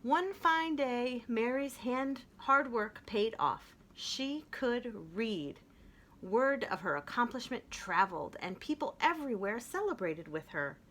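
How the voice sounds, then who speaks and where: A woman reading a children's picture book aloud in an expressive way, slowing down to stress key phrases. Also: a little high. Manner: expressive, with slowed emphatic phrases. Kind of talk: storybook read-aloud.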